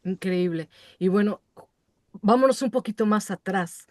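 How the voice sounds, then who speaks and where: A woman's voice: medium pitch, measured pace, darkish tone. Woman host asking rambling questions. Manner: rambling questions with many asides. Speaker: interview host. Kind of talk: conversational interview.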